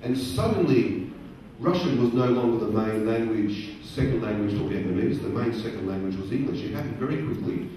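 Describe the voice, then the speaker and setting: A man's voice: fairly low pitch, animated, dark tone. Middle-aged man lecturing in an echoey hall. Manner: slow, simple wording with repeated phrases for learners; asks the audience questions. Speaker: guest speaker at a career event. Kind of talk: lecture to a live audience. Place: large conference hall. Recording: very echoey hall, distant voice, faint noise.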